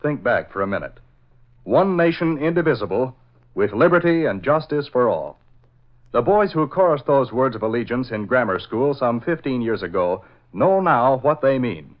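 A man's voice: medium pitch, very animated, normal speed. A man announcing and narrating in scripted 1940s radio style, with dramatic, emphatic delivery. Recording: old lo-fi radio broadcast.

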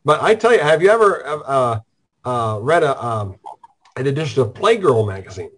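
A man's voice: medium pitch, big pitch swings, normal speed. A man hosting a casual online talk show while stuffed up with a cold, joking and rambling through his stories. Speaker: host.

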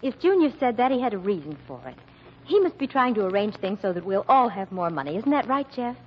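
An actress playing a trusting, earnest daughter who protests in a proper manner, in an old-time radio mystery. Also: female voice, medium pitch, very animated, a little fast, lightly acted. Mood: prim reproach. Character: prim, indignant, trusting daughter of a publishing family. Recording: dull vintage radio recording with hiss.

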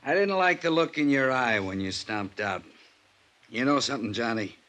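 A man playing a dry, gruff older police lieutenant in a 1950s radio drama, laconic and unhurried. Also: mid-range voice, lively intonation, pushed and bright. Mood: dry, knowing. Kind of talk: 1950s American radio acting.

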